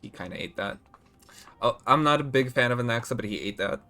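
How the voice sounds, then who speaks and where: A male streamer giving casual, excitable reaction commentary on a game story. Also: medium pitch, a little fast. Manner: excitable, chatty.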